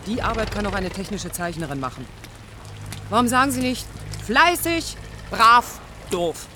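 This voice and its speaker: Idealistic young female architect, forceful, swooping intonation, challenging, sarcastic, radio drama, light outdoor noise behind. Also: mid-range voice, lively intonation, unhurried. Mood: indignant. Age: young adult.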